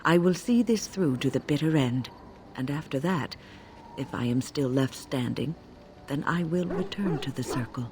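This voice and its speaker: An actress playing a dutiful, wry older mage in a video game, speaking in a measured, resolute and formal way. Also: female voice, sits low, lively intonation, noisy background. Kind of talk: video game character acting.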